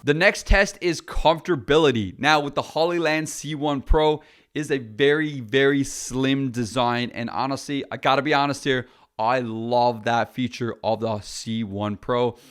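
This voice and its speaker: A man's voice: mid-range voice, measured pace, a bit bright. A man, a filmmaker, chatting casually and bluntly through a product review.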